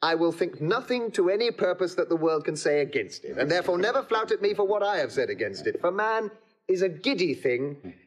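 An actor playing a witty, boisterous bachelor in a classical audio comedy, jovial, teasing and declamatory. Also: male voice, high-pitched, big pitch swings, a little fast. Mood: jubilant. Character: witty, boisterous confirmed bachelor giving in to love.

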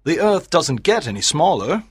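An actor playing an incredulous, argumentative English club gentleman with a husky voice in a dramatized audiobook. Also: on the high side, sing-song, moderate pace. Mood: incredulous, questioning.

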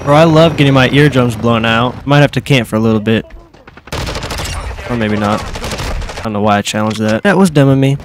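A young man with a stuffy, slightly nasal voice from a cold, talking through his own game. He mutters low and then bursts into excited yelps, all over constant loud gunfire and game noise. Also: moderate pace, long pauses. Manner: reactive, swings from mutters to excited shouts. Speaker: gaming streamer. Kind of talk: casual gameplay commentary.

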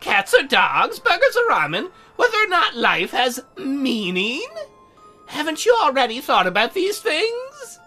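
Man putting on a high-pitched prim demon girl. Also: wildly swooping pitch, unhurried, pushed and bright, faint music behind, exaggerated voice. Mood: probing. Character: teasing, prim young female demon dealmaker.

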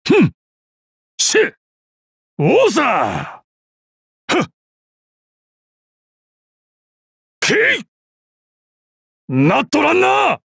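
A man playing a calm, deadly old martial arts master and assassin in a video game, with a weathered, measured, wry delivery. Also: extremely high pitch, gravelly, no echo, studio quality, full character voice. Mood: fierce, commanding. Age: older. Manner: measured, wry, explosive battle cries. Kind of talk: video game battle voice lines.

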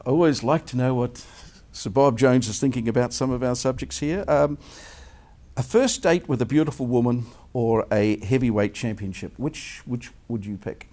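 A middle-aged male television host. His voice sits in the middle range with a dark, mellow tone as he puts brisk, friendly questions to his guest, recorded on a lapel mic. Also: medium pitch. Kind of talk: studio interview.

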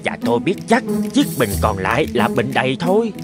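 A man's voice: medium pitch, sing-song, brisk, close to an everyday voice. A man voicing a shrewd, confident fox who reasons things out in a cartoon fable. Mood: smug, explaining. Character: shrewd, confident male fox, clever and composed. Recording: loud music behind the voice. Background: loud jingling music.

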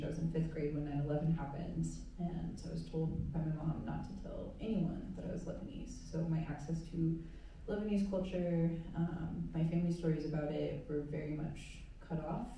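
A woman's voice: low voice, steady tone, moderate pace, vocal fry, mellow, far from the mic, slight background noise. A woman artist on a panel, speaking candidly and reflectively about her background. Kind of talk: personal panel talk. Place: museum auditorium stage. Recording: echoey hall, heard from afar.

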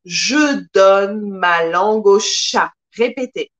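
A woman in her thirties teaching a live-streamed French lesson, speaking carefully and expressively, recorded by a webcam in a small room. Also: medium pitch. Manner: slow, careful, expressive teacher with lots of hand gestures. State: occasional coughing from pollen allergies.

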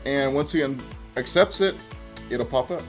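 A man's voice: mid-range voice, moderate pace, faint music behind. A man in his thirties presenting a how-to tutorial in a conversational, step-by-step way. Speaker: tech presenter. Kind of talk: casual how-to tutorial narration.

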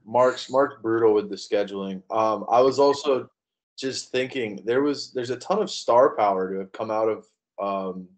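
Lowish-voiced young man in casual banter. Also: moderate pace. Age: young adult. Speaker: show co-host. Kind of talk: casual joking chat. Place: indoor room on a video call.

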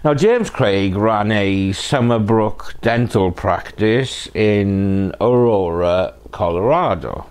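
A man's voice: lowish voice, slow pace, hardly any pauses, a little mellow, smooth. A middle-aged man hosting a true crime show, narrating from a script phrase by phrase, with playful asides. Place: living room sofa, indoors.

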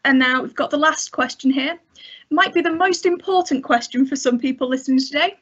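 A woman's voice: sits high, a little fast, a bit bright, lo-fi sound. A young woman hosting an online event, asking questions and reading friendly closing announcements over a headset on a video call. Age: young adult. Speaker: host. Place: indoors in a bedroom.

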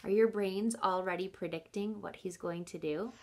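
A woman's voice: medium pitch, normal speed. A woman in her thirties reading a picture book aloud as a teacher, expressively, stopping to explain and question young listeners directly. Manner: expressive, instructive, addressing children directly.

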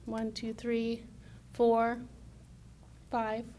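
A woman in her thirties with a soft voice, giving a persuasive conference presentation at a podium microphone in a university room, asking many rhetorical questions. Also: on the high side, monotone, very slow, a little hiss or hum. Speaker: conference speaker.

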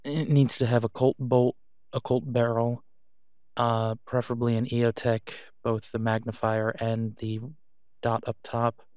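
A man plays a reluctant werewolf alpha in an audio roleplay. His delivery is casual and understated, with an awkward hesitance. The voice sits at a medium pitch in a dry, close recording. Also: level tone, measured pace, a little mellow, smooth, no room sound, lightly acted. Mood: businesslike. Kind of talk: naturalistic audio roleplay acting.

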